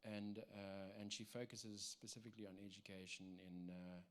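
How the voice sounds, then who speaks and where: Halting South African man, low voice. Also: fairly even intonation, fairly bright tone. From South Africa, Cape Town. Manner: halting, many ums and restarts. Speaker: church member giving testimony. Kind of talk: personal testimony, unscripted.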